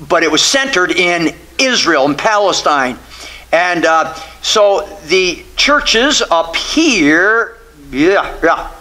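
Older american guy in his 60s preaching, high bright voice, repeats himself for emphasis, conversational sermon. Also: rough recording. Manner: emphatic, repeats and restates phrases. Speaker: pastor. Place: church sanctuary, at a pulpit.

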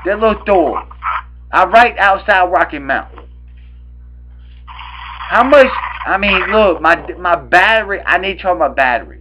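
A man's voice: sits high, lively intonation, unhurried, pauses often, pushed and bright, slight background noise. A young man with a Southern US accent making a prank call, rambling, repeating himself and stammering. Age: young adult. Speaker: prank caller. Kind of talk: improvised prank phone call. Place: small room at home, indoors. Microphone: webcam at arm's length. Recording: dull, lo-fi webcam audio.

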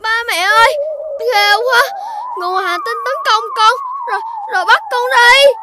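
A performer voicing an excitable, imaginative little boy puppy in a children's cartoon, exclamatory and dramatic. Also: very high-pitched, moderate pace, heavy background noise. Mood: alarmed. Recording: music under the voice.

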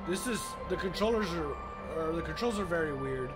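A man's voice: a little high, a little fast. A young man with a sore throat and a partly lost voice, chatting casually as he plays a game and reacting with awe. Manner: awed, chatty, easily amazed. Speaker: gaming YouTuber. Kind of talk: casual let's play commentary. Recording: gameplay music underneath. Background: calm game music.

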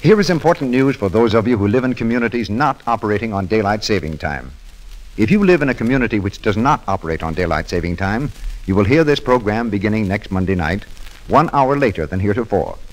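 A man's voice: on the low side, sing-song, fast talker, low-quality recording. An American man making formal, emphatic announcements in 1930s radio style.

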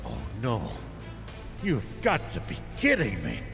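An actor playing a grim, terse older veteran warrior in a video game. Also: male voice, on the low side, wildly swooping pitch, a little slow, gravelly, exaggerated voice. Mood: grim, uneasy. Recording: long reverb, music behind.

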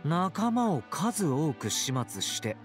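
Playful young male fighter, casual, teasing, lively intonation, Japanese game dub over faint music. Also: a little high, slow pace. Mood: probing. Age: young adult. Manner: casual, playful, smooth-talking. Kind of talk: Japanese anime-game voice acting.

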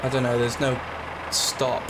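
A young man with a slightly raspy, hoarse voice from a cold, chatting loosely with lots of fillers while playing a train simulator. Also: medium pitch, monotone, unhurried. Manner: rambling, chatty, with frequent fillers. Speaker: gaming channel host. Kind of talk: casual commentary over a train simulator. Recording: loud game train noise behind.

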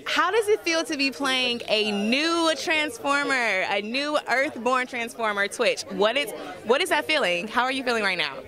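A woman's voice: high voice, expressive, moderate pace. A young adult woman working as an entertainment reporter, casually interviewing outdoors on a handheld microphone. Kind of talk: casual red carpet interviewing. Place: outdoors at a premiere press line. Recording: busy crowd chatter behind.

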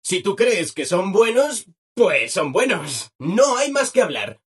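A video game robot helper with a Castilian Spanish accent, relentlessly cheerful and keen to please. The voice sits high and swoops up and down, with a husky edge. Everything comes out chirpy and over-obliging. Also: male voice, big pitch swings, slow, fairly bright tone, heavily acted. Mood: submissive, upbeat. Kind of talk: video game character voice acting.

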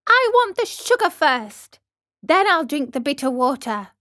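A woman's voice: high-pitched, sing-song, unhurried, bright, forceful tone, voice pushed up, full character voice. A woman voicing a petulant, stubborn little wooden puppet boy in a storybook reading, whiny and dramatic. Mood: sulky, demanding.

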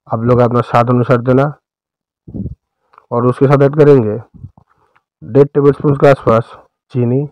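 A man with an Indian accent narrating a recipe step by step as a cooking host, in a smooth, clear voice. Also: mid-range voice, a little mellow, dry recording, highs cut off.

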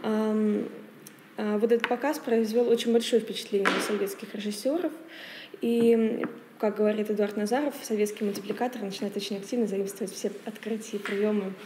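A woman in her twenties giving an academic presentation in a halting, hesitant way. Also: mid-range voice, steady tone. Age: 20s. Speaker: speaker presenting a paper. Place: wood-panelled library meeting room, indoors. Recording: echoey room with long reverb, faint noise.

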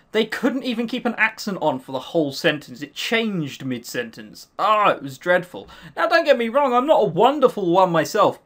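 A man's voice: high-pitched, a bit bright. A man reading a visual novel aloud and mocking it with sarcastic comments, as a let's play host.

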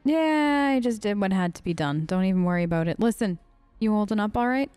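A woman's voice: mid-range voice, expressive, brisk, a little mellow, clean tone, dry recording, faint music behind. Female let's player role-playing a game character: informal, mid-range, close dry voice-over. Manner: informal, conversational. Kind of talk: casual in-character role-play narration.

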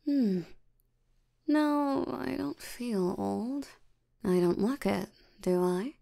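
A woman playing a lazy, sleepy, ancient demon in an ASMR roleplay, drowsy and unbothered, with a soft tired voice. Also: a little high, heavily acted. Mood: drowsy, nonchalant. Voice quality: soft, breathy, creaky tired voice. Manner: drowsy, slow, drawling, unbothered. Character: sleepy, lazy female sloth demon, ancient but youthful.

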